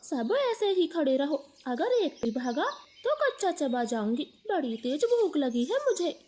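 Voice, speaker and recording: A woman's voice: sits high, sing-song, a little slow, darkish tone. A woman voicing a cruel, hungry female eagle villain in a cartoon fable, threatening and gloating.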